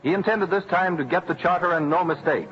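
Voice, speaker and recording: An actor narrating a historical radio drama in a solemn, scripted old-time style. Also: male voice, high voice, fairly quick, lightly acted. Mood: solemn, dramatic. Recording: muffled old radio recording. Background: faint music.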